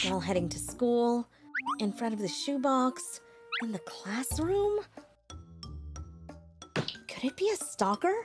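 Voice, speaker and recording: Casting: actress for introverted high school girl narrator in an anime-style cartoon, high and very lively, self-deprecating, melodramatic. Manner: dramatic, self-pitying.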